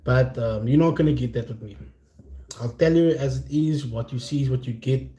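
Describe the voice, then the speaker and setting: A South African man with a Cape Flats accent talks straight to his viewers as a livestream host. His tone is warm and relaxed at a middle pitch, his wording candid and slangy. The room adds a noticeable echo to his voice. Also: moderate pace. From Cape Town, South Africa. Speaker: content creator hosting a chat livestream. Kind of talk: casual, unscripted livestream talk. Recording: roomy, echoing sound.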